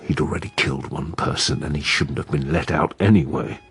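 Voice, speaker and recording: An actor playing a weak, self-pitying adulterous husband in a radio drama, confessing to murder in a halting murmur. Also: male voice, a bass voice, breathy edge, heavily acted. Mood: anguished confessing.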